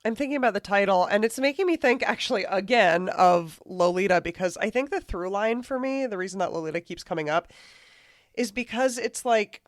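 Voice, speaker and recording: A woman's voice: mid-range voice, brisk, no echo. Woman panelist, dry close sound. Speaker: podcast panelist. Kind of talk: casual book discussion.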